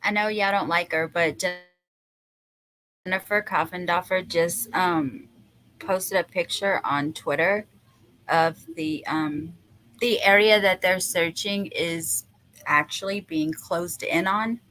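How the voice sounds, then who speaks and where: A woman's voice: fairly low pitch, takes it slowly, a bit bright, low-quality recording. A woman chatting casually on a live stream. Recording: faint ambient noise.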